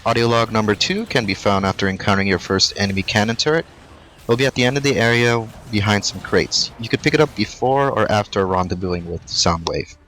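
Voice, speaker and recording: A man narrating a game walkthrough voice-over, rattling off directions at a fast clip. His voice sits fairly low but sounds bright and forward, and he stays brisk and matter-of-fact. A faint noise sits underneath. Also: rough recording.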